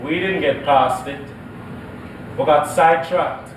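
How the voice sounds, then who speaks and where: A flirty, bumbling Jamaican husband in a stage comedy. He talks slowly and haltingly in a high male voice, from far off in an echoey theatre. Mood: flirtatious. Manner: hesitant, halting with innuendo. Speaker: stage actor. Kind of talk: comedic stage play acting. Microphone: distant stage microphones. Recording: echoey noisy theatre, distant mics.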